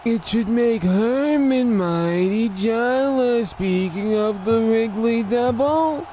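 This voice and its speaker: A man's voice: very high-pitched, slow, warm tone, a little hiss or hum. A man playing a huge, self-important, gluttonous mob boss in an old-time radio comedy, with a domineering, indulgent manner. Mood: bossy.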